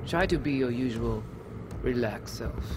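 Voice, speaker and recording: Curt actor as a gang fixer, noisy. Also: male voice, a little slow, close to an everyday voice. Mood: instructing. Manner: curt, commanding, clipped non-native phrasing. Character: terse, secretive gang fixer giving orders. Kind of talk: video game dramatic acting. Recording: noisy ambience, vehicle-like rumble.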